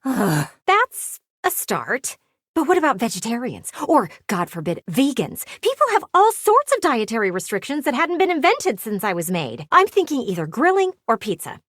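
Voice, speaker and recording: High, theatrical, bossy lady window. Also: huge pitch swings, moderate pace, fairly bright tone, dry recording, studio quality, heavily acted. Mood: exasperated, condescending. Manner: condescending, teasing, theatrical. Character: bossy, theatrical talking house window, sweet but cutting woman. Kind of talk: video game character voice acting.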